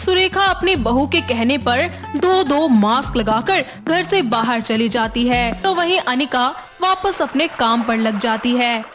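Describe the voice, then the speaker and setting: Woman narrating a hindi kids story, highish voice, bit of music underneath. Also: animated, a little slow, few pauses, a bit bright. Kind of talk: scripted children's story narration.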